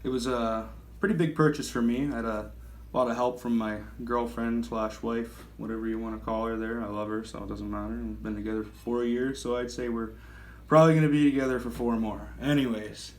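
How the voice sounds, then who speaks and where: A man's voice: mid-range voice, steady tone, fairly quick, few pauses, rough recording. A man in his thirties giving a casual gear review, talking in a rambling, self-correcting and enthusiastic way. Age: 30s. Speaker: knife reviewer.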